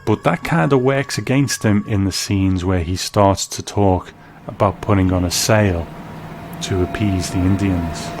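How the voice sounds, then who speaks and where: A man's voice: low voice, a little slow, darkish tone, a little hiss or hum. A man giving scripted review commentary as a narrator. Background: music bed.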